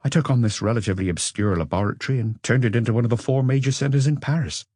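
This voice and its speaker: A man's voice: medium pitch, fast talker, darkish tone, breathy edge, no room sound, pitched above the performer's own voice, lightly acted. A man voicing a weary businessman under police questioning, earnest, wordy and self-justifying, in an audiobook. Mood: defensive.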